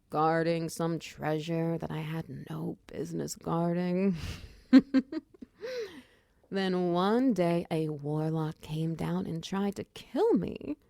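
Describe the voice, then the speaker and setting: Woman as female minotaur shopkeeper wizard, ASMR roleplay, chatty, encouraging mentor, gravelly fry, close dry sound. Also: lowish voice, no echo. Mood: wry, reminiscing, then casual storytelling. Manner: chatty, encouraging, gently instructive.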